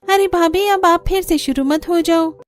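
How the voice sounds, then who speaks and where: A woman's voice: very high-pitched, normal speed, pitched above the performer's own voice. A woman voicing a carefree, whiny young sister-in-law in a cartoon. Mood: irritated. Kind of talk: cartoon voice acting.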